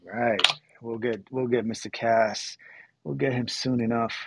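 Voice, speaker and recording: A man from Puerto Rico hosting a casual moderated chat, relaxed and rambling, often correcting himself. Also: medium pitch, a little slow, gravelly, very noisy. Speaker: host.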